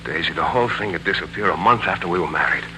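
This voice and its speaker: A man playing a melancholy war veteran and ardent suitor in a 1940s radio drama, with an American accent and earnest, halting delivery. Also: sits low, bright, forceful tone, slight background noise, rough recording. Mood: pointed, probing. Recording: old 1940s radio broadcast with music.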